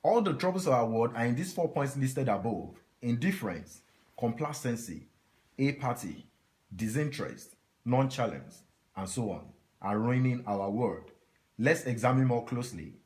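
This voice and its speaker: A man reading a book passage aloud to a small group in a steady, measured way. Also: medium pitch.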